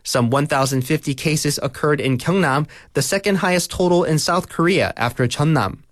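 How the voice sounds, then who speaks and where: A man reading a scripted local news bulletin in a measured, formal way. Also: fairly high pitch, a bit bright, no room sound, clean studio sound. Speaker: news reader.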